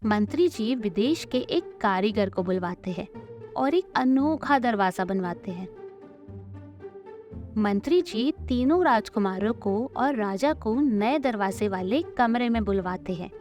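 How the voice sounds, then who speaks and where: A woman's voice: mid-range voice, expressive, measured pace, a little mellow, soft background music. A woman narrating a scripted children's story as a storyteller.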